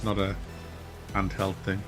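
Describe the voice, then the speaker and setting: A man with a Wearside Mackem accent from near Durham, casually chatting with viewers during a game stream, relaxed and chatty, often laughing and trailing off. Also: low voice, measured pace, music in the background. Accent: Wearside (Mackem) North East English. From North East England, near Durham. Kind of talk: casual live-stream chat reading viewer comments.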